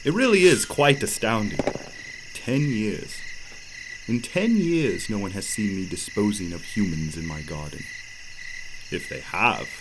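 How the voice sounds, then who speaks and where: A man's voice: low voice, noisy background. A man playing an amoral, self-justifying innkeeper in an audio drama, with a theatrical, articulate, formal and wry delivery. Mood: scornful, brooding. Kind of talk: dramatic first-person monologue narration in an audio drama.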